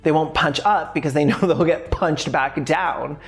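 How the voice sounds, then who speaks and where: A woman's voice: sits low, moderate pace, soft background music. A woman hosting a video essay in a frank, sardonic way, mixing scripted points with casual, sweary asides.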